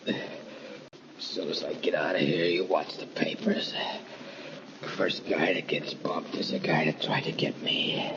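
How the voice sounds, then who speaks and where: An actor playing a wounded, sarcastic gangster in an old radio drama, tough, dismissive and wisecracking. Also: male voice, low-pitched, fairly even intonation, measured pace, whispered. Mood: defiant. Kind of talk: 1940s radio crime drama acting. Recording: noisy, muffled old radio recording.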